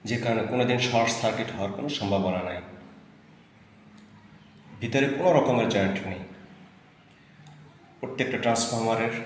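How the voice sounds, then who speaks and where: A man giving an instructional product demonstration, explaining specifications and repeating key points for emphasis. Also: on the low side. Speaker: product presenter. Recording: very echoey reverberant room, faint noise.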